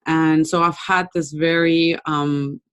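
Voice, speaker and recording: A young woman from Brazil giving an informal webinar talk over a video call, conversational and relaxed with frequent ums. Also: low voice, slow, clean tone. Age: young adult. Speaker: webinar presenter.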